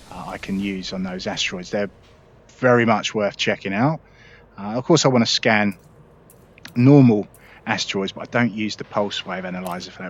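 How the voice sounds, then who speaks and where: A man with a fairly low voice talks viewers through a game in a relaxed, explanatory walkthrough. He keeps it chatty, full of "uh"s and small restarts as he goes. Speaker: gaming video host. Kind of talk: casual gameplay walkthrough.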